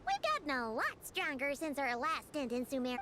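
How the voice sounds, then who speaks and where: A woman voicing a tiny, excitable fairy companion in a video game, childlike, blunt and exclamatory. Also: high voice, normal speed, loud music behind the voice. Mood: earnest.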